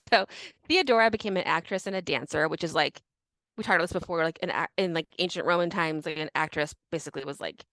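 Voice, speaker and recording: A woman's voice: on the low side, a little fast, a bit bright, dry recording. A young woman co-hosting a podcast, casually telling a history story with many fillers and frequent laughs. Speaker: podcast co-host.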